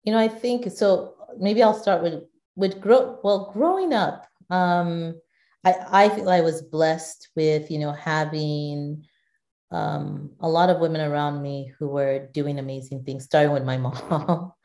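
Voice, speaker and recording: A middle-aged woman from Nigeria answers interview questions in a low, mellow voice. She speaks thoughtfully, circling back and restarting as she works out her points. The sound has an echoey, roomy quality. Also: fairly even intonation, measured pace. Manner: thoughtful, with frequent restarts and fillers. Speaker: guest, digital executive. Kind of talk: conversational interview.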